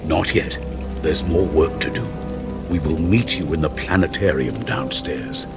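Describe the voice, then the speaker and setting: An actor playing an authoritative, suspicious guard in a fantasy game, speaking in a commanding manner. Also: male voice, very deep voice, a little slow, over loud music. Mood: firm. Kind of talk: fantasy game dialogue acting.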